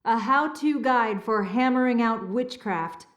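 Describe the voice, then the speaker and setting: A high female voice in a reverberant room, an actress as a scholarly castle historian in an adventure game. She explains things precisely and earnestly, with a slightly flustered, nervous edge. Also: unhurried, muffled recording, lightly acted. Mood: grave. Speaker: historian and relic keeper. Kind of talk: video game dialogue, expository.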